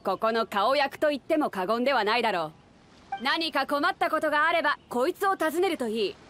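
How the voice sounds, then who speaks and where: An actress voicing a bold, hearty, protective woman ship captain in an anime game, with rough, commanding, masculine speech. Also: sits high, expressive, fairly quick, a bit bright, slight background noise. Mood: confident, commanding. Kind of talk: anime video game voice acting.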